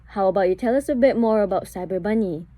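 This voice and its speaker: A woman hosting a relaxed podcast conversation. Her voice is dark and mellow, and her tone is friendly and talkative, with laughter breaking through often. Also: mid-range voice, fast talker, no echo. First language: English. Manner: chatty and warm, laughing often. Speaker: podcast host. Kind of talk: casual podcast interview.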